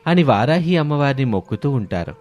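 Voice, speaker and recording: A man's voice: medium pitch, sing-song, fairly quick, darkish tone, highs cut off. A man narrating a scripted moral story. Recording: music behind the voice.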